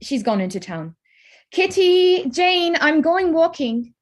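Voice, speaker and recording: A woman playing a witty, spirited young gentlewoman in a staged reading of a Regency romance, arch and composed. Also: very high-pitched, very animated, slow, full character voice. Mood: guarded, earnest. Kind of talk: staged reading of a period drama.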